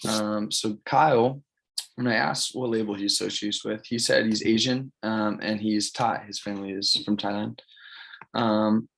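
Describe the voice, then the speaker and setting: Low, even-toned young man. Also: gravelly, low-quality recording. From California, United States. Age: early 20s. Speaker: college student presenting an assignment. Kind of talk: informal spoken presentation.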